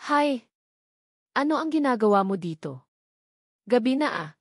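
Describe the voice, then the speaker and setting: A woman's voice: fairly high pitch, very animated, a little slow, no echo, clean studio sound, pitched above the performer's own voice, exaggerated voice. A woman voicing a frank, concerned younger sister with a Filipino accent, in an audiobook. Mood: curious, concerned.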